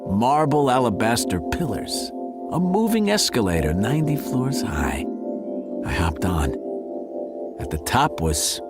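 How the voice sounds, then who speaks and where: A man's voice: medium pitch, big pitch swings, measured pace. A man playing a wry, world-weary male cat who narrates a film-noir detective audio drama in a theatrical way. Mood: awed. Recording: studio drama over loud music bed.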